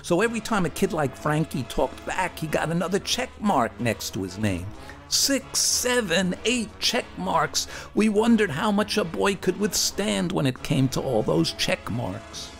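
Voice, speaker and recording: A male storyteller with a creaky, gravelly voice narrates a first-person memoir from a script. He is theatrical and deliberate, stretching words for emphasis. Music plays faintly underneath. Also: high-pitched, very animated. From Brooklyn, New York, United States.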